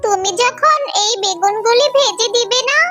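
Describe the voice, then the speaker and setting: A woman's voice: very high-pitched, moderate pace, over loud music. A woman voicing a stubborn little girl in a cartoon, childlike and wheedling. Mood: pleading, sulky. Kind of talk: cartoon voice acting.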